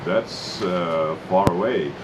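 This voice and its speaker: A man whose first language is Finnish, speaking English with a Finnish accent in a Santa Claus role, talking slowly with many fillers. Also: deep voice. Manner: slow, hesitant, with many fillers. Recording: noisy room ambience.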